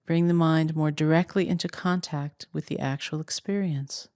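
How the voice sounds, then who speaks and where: A woman with a low voice leads a guided meditation as a teacher. She speaks calmly and without hurry, leaving long pauses between phrases. The recording is close and dry, with almost no room sound. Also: smooth, no room sound. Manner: calm, unhurried, with long pauses.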